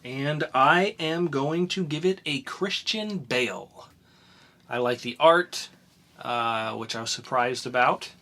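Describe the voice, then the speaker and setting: A young man co-hosts a casual podcast and reviews comics in an easygoing, rambling way, full of fillers and restarts. His voice is bright and forward, and his pitch sits in an ordinary middle range. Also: slow. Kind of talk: casual chat.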